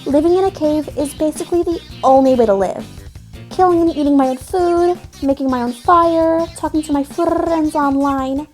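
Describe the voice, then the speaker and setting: A woman's voice: high-pitched, moderate pace, a little mellow, faint music behind, close to an everyday voice. A woman playing a bubbly, affectionate young cat-like alien huntress in a fan audio drama, cutesy and playful. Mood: cheerful, chatty. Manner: cutesy, playful, peppered with meows.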